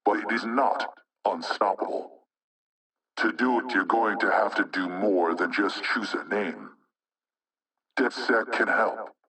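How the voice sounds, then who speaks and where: An actor playing an anonymous male hacktivist spokesman in a video game propaganda broadcast, speaking in a measured, rhetorical, insinuating way with menace. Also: on the low side, pushed and bright, distant mic, low-quality recording. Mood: ominous, preaching.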